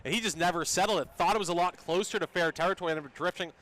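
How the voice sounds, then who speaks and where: A man's voice: high voice, rapid-fire, fairly bright tone. A man giving live baseball analysis as a color commentator.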